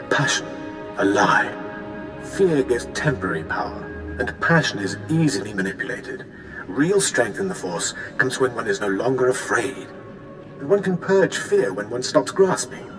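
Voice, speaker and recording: An actor playing a serene ancient Sith sage speaking as a hologram in a video game, measured and solemn, with an echoing effect. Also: male voice, mid-range voice, big pitch swings. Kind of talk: video game cutscene acting. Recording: echoing voice over game music.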